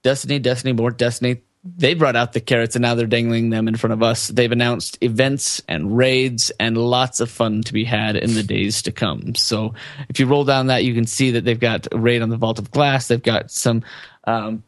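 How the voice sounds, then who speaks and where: A man's voice: mid-range voice, steady tone, normal speed, no echo. A young man hosting a casual podcast, talking in an upbeat, informal way. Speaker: podcast host. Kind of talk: casual podcast chat and news rundown.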